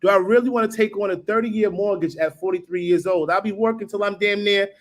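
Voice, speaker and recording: A man's voice: high-pitched, brisk. A man in his forties from New York, talking casually on a live video call. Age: 40s. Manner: relaxed and conversational. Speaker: guest. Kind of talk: casual live-stream chat.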